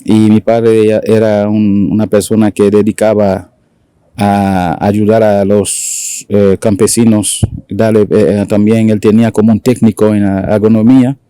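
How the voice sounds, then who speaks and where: A man in his forties from Haiti, speaking Spanish with a Dominican accent, talking haltingly with many fillers as an interview guest. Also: on the low side, flat delivery, warm tone, clean tone, dry recording. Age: 40s. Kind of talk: casual conversational interview.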